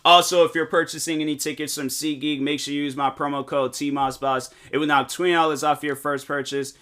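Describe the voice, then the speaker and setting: A man's voice: on the high side, fairly even intonation, fairly quick, fairly bright tone. A man in his twenties hosting a solo podcast, giving a rambling motivational talk full of filler words. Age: 20s. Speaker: podcast host. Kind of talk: casual solo podcast monologue.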